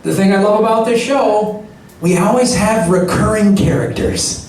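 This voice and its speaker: A man's voice: high-pitched, moderate pace, darkish tone, a little hiss or hum. A man hosting a live comedy show, telling a story with sarcastic, profane asides. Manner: joking, profane, sarcastic asides between facts. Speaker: comedy podcast host. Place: live venue before an audience. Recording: echoey live room, distant mic, crowd.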